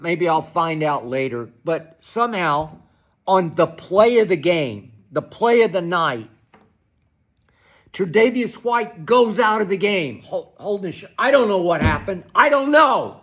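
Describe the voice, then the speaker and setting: An older man hosts a solo sports talk segment, his voice hoarse and worn from shouting. He rants with exasperation, sitting a little high in pitch, and trips over his words, repeating them as he pushes on. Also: lively intonation. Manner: exasperated, ranting, halting restarts. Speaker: sports talk show host. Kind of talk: animated solo sports commentary.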